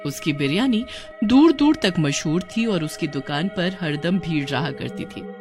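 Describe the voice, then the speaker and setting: A woman's voice: lowish voice, animated, a little slow, darkish tone, soft background music. A woman narrating a scripted cartoon story.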